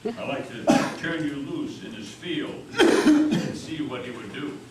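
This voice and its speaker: A man's voice: on the high side, huge pitch swings, measured pace, heavy background noise, full character voice. A man acting a proud, defiant nineteenth-century lawyer and orator with bold, folksy declamation in a stage reading. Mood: teasing menace. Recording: echoey hall, distant mic, music.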